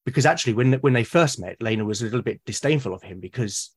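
A man from Britain, a podcast guest, talking eagerly about his characters, with lots of restarts and a slightly husky voice. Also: medium pitch, animated, fast talker. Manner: enthusiastic, rambling with many restarts. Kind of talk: casual podcast conversation.

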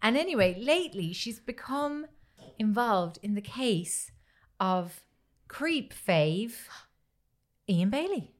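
A woman's voice: fairly high pitch, slow, long pauses. Irreverent Irish woman bantering. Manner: irreverent, sweary, animated storytelling. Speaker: podcast co-host. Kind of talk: casual comedic banter.

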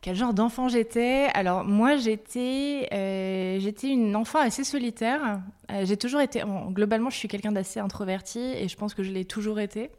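Invitée femme, française, ton franc et décousu, nombreuses hésitations. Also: female voice, medium pitch, normal speed, a little mellow. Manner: candid, rambling, self-mocking. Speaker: guest, brand founder. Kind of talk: casual interview chat.

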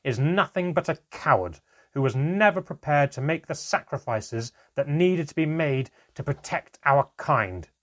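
A man voicing a cruel goblin father in an audiobook, mocking and forceful. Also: a little high, expressive, moderate pace, gravelly, breathy edge, pitched above the performer's own voice, heavily acted. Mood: roaring anger. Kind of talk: audiobook character voice.

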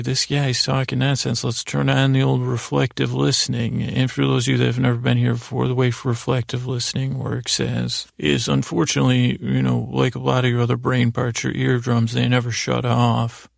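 Super creaky guy rambling a bedtime podcast, pretty monotone, keeps trailing off and repeating. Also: medium pitch, dry recording, studio quality. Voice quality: gravelly, creaky. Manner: meandering, halting, repeats and trails off. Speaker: podcast host. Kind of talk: rambling improvised bedtime monologue.

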